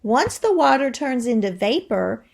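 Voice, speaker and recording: A woman teacher reading aloud to young children in a deliberate, expressive way, thinking aloud and putting questions to her listeners. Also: on the high side, gravelly.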